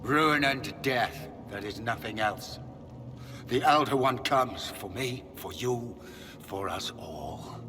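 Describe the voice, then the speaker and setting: A man's voice: medium pitch, slow, natural voice. A man playing a broken, remorseful older mage and father in a video game, grave and theatrical. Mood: bleak, defeated. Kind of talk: dramatic video game acting. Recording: loud music behind the voice.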